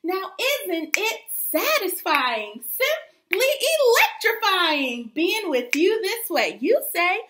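Young lady teacher doing a sing-song rap storytime for kids, bright slow delivery, bit of background noise. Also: extremely high pitch. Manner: animated, sing-song, encouraging to young children. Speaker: early childhood teacher reading aloud. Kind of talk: rhythmic rapped children's read-aloud. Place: small plain room indoors.

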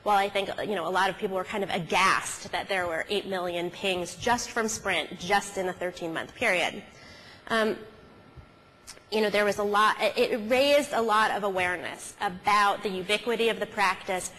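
A woman delivers a conference keynote in a conversational storytelling style, her pitch sitting in the middle range. She often halts and corrects herself as she goes. Her voice rings with long reverb through a big auditorium. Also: normal speed, a bit bright, a little hiss or hum. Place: large auditorium stage, at a podium. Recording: hall reverb from stage sound system.

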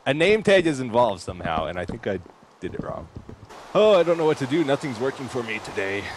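A man's voice: mid-range voice, very animated, a little fast, background noise. A man chatting casually while playing a game with friends, teasing and laid-back. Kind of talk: casual gameplay chat.

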